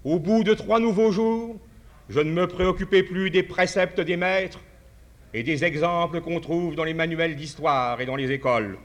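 A man delivers a formal address at a writers' congress, with a somewhat high voice. He speaks in a measured way, retells a parable, and restarts phrases as he searches for words. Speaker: conference speaker. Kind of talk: formal speech at a podium.

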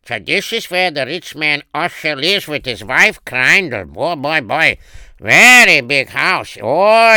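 A man plays a hungry beggar in a spoken story, humble and hesitant, with wide-eyed exclamations. His voice is very bright, smooth and clear. The sound is dry and close. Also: on the high side, no room sound, exaggerated voice.

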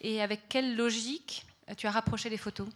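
A woman moderating an exhibition talk, leading with brisk questions. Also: on the high side, steady tone. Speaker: event moderator.

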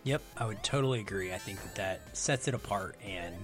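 A man's voice: on the low side, brisk, over music. A middle-aged man speaking as a podcast guest in a casual discussion about films.

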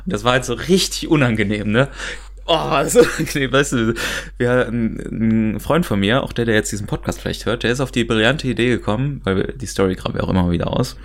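A man's voice: mid-range voice, quick pace. A young man, chatting casually as a podcast host. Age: young adult. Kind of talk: casual chat.

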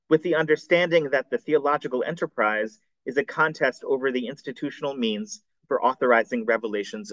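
A man reads his seminar paper in a careful, formal cadence, correcting the odd slip as he goes. His voice sits at an ordinary pitch for a man. The recording is dry and somewhat dull and muffled. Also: dry recording. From United States. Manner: measured, formal reading with occasional slips. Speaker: scholar presenting a seminar paper. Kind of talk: reading a prepared academic paper.

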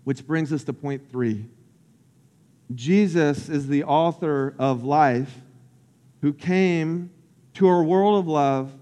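A man's voice: a little high, slow pace, long pauses, warm tone, in a large hall. A man from Central Florida, a pastor giving an earnest, personal sermon that builds in intensity.

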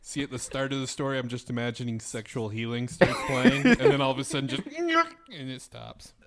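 A young man drops quick deadpan one-liners during crude group banter. His voice sits mid-range and moves expressively. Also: medium pitch, a crowd in the background. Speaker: podcast co-host.

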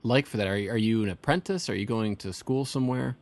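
A man's voice: fairly low pitch, brisk, no echo. A man hosting an interview, asking rambling, stacked questions. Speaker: podcast host. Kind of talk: casual interview.

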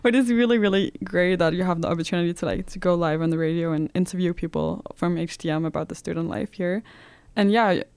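A woman's voice: lowish voice, fast, a little mellow, clean tone, no echo. A young woman hosting a podcast in a casual, conversational way. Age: young adult. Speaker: podcast host and moderator.

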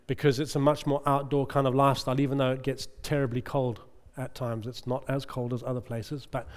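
A man's voice: mid-range voice, level tone, a little fast. A middle-aged male preacher giving a conversational sermon, chatty and often checking in with the congregation. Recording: long reverb of a large hall.

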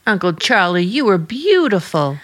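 Actress as an eager, animated young niece. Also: female voice, mid-range voice, normal speed, lightly acted. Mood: adoring. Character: eager, adoring young niece, sensitive and curious. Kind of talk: old-time radio drama acting.

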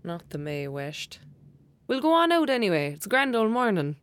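A woman's voice: medium pitch, sing-song, normal speed, no echo, natural voice. A woman playing a bold, teasing young friend in an audio drama, with an Irish accent and a wry, cajoling, colloquial manner. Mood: coaxing. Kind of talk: naturalistic audio drama acting.

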